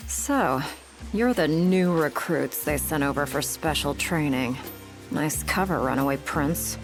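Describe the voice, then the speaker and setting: Cool, low-voiced mercenary commander. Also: female voice, animated, measured pace, over music. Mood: wry, commanding. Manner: casual, wry, commanding. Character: confident, brisk female mercenary commander, cool and wry. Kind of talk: video game cutscene acting.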